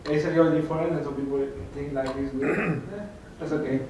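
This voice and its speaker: A man's voice: on the high side, brisk. A young man from Mexico with a Mexican Spanish accent, a student speaking hesitantly in simple phrases. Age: young adult. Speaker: student panelist. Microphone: handheld mic. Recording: echoey room from far off, faint noise.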